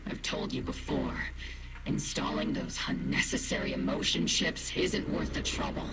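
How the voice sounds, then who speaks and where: An actor voicing a gruff, stubborn, loyal military captain in a video game, intense and resolute. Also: male voice, normal speed, heavy background noise, close to an everyday voice. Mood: dry, softened. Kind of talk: dramatic English video game voice acting.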